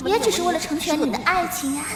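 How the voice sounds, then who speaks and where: A woman's voice: extremely high pitch, fast talker. A woman playing a smug, scheming young villainess with false sweetness in a motion-comic drama. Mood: smug, mocking. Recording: echoey hall reverb, music behind.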